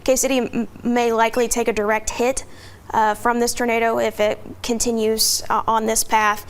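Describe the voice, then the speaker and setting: A woman meteorologist walks viewers through radar during a tornado warning on live TV. Her voice sits slightly high and she keeps a steady, explanatory pace despite the urgency, with the odd filler. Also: moderate pace. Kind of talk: live severe weather coverage.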